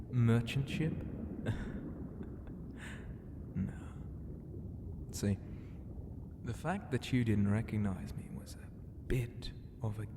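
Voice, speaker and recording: A man voicing a huge, proud and flirty ocean god who murmurs in an unhurried, teasing way for an ASMR roleplay. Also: fairly low pitch, noisy background.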